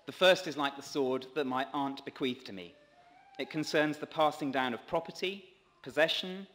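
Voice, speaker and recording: A man's voice: mid-range voice, fairly bright tone. Male priest preaching in a reverberant church. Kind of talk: sermon read from a script. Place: large stone church, at a pulpit. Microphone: gooseneck lectern microphone.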